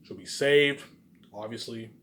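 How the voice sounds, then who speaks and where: A man's voice: mid-range voice, fairly even intonation, a little slow, a bit bright. A man giving a rambling, informal monologue in a boastful, repetitive, emphatic way, swearing now and then. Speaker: vlogger.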